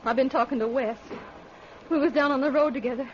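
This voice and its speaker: A woman's voice: high voice, fairly even intonation, quick pace, close to an everyday voice. A woman acting in an old-time radio drama as a grieving, defiant country girl with accusing, folksy speech. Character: defiant, grieving backwoods girl, skinny daughter. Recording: muffled, noisy old radio recording.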